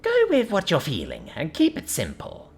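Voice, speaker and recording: A man voicing a sweet young female rabbit who speaks softly and kindly. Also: on the high side, wildly swooping pitch, normal speed, vocal fry, pitched above the performer's own voice, full character voice. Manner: soft, kindly, encouraging.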